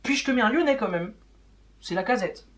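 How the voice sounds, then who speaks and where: A young man hosts a casual football chat. He talks very quickly in an informal, unedited style. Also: sits high, expressive, a bit bright. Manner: chatty, informal. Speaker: host of a football channel.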